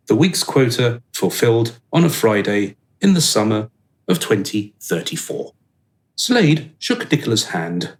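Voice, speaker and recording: An actor as the measured, wry narrator of a radio play. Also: male voice, medium pitch, very animated.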